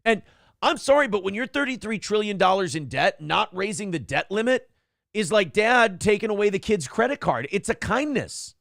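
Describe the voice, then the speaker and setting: A brash, profane male talk show host with a gravelly, slightly high voice. He riffs loosely on politics and reads out viewer messages. The sound is dry and close. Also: expressive, normal speed, fairly bright tone, dry recording.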